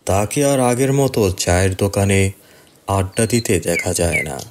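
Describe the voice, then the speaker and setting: A man's voice: deep voice, lively intonation, a little slow, noisy background. A man narrating a scripted horror story for a cartoon.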